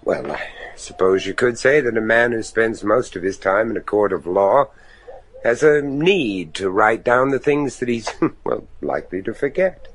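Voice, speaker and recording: A man playing an earnest, probing middle-aged judge searching for his son in a gothic horror radio drama, speaking formally with deliberate hesitations. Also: fairly low pitch, lively intonation, husky, rough recording. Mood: polite, hesitant.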